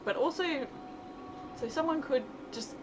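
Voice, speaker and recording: A woman's voice: sits high, measured pace. A woman hosting a casual livestream, thinking aloud in an informal, rambling way and often interrupting herself as she works. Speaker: livestream host, writer and game developer. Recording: very noisy live stream audio.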